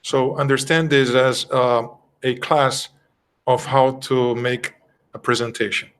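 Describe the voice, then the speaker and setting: A middle-aged man with a Brazilian Portuguese accent talks through spiritist teachings like an informal class. He speaks earnestly and conversationally at a moderate pitch, pausing now and then to find the right English word. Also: level tone, fairly bright tone, clear, resonant tone. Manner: earnest, conversational, often pausing to search for words. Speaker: spiritist study group speaker. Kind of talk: informal doctrinal class talk.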